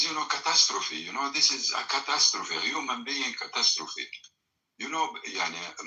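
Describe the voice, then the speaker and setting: Emphatic man, bright tense voice. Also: mid-range voice, poor audio. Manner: broken English with many fillers, emphatic. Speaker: storyteller recounting family memory. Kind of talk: emotional personal storytelling.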